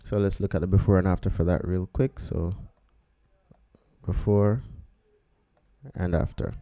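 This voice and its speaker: A man, a photographer, talking through a photo edit step by step in a relaxed screen-recorded tutorial, thinking aloud as he works. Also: sits low, measured pace. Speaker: photographer teaching photo editing.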